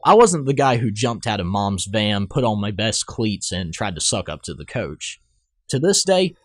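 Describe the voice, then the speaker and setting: A man's voice: lowish voice, no room sound, studio quality. A young man reading a scripted personal essay aloud in an earnest, rehearsed storytelling manner.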